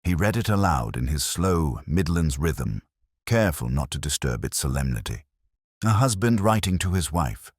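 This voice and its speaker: A man narrating a scripted first-person detective story in a measured, literary, brooding way. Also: very deep voice, very animated, husky, no echo, clean studio sound.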